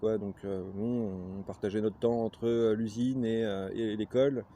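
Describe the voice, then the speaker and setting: A man's voice: lowish voice, steady tone, normal speed, warm tone. Lorraine-accented man talking on a walk. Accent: Lorraine French. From Lorraine, France. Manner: rambling, frequent hesitations. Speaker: glassblower vlogger. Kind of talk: casual talk to camera. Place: outdoors on a countryside walk. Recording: outdoor noise with passing vehicles.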